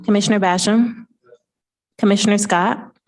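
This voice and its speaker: A woman clerk calling the roll and reading agenda items in a brisk, formal way. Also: mid-range voice, fairly even intonation, low-quality recording.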